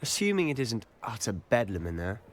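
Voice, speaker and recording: A man's voice: mid-range voice, big pitch swings, a little fast, slight background noise, close to an everyday voice. A man playing a friendly, wry, chatty schoolboy companion in a video game. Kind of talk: video game character acting.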